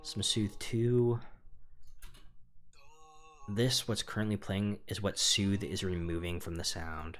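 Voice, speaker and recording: A young man casually walking through how he produced his own song, rambling and relaxed with lots of fillers and hedges. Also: on the low side, slow pace, pauses often. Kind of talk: casual studio walkthrough. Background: faint music.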